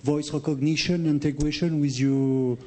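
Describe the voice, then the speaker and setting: A hesitant man in the audience asks a question after a talk, stalling on fillers as he goes. His voice is a little high for a man, but with a warm, dark tone. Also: fairly even intonation, moderate pace. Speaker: audience member asking a question.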